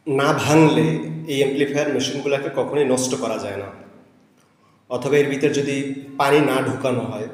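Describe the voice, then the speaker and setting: A man demonstrates and explains technical equipment like a teacher, deliberately, in short phrases, repeating key specifications. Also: medium pitch. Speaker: teacher presenting his own amplifier build. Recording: very echoey room with long reverb.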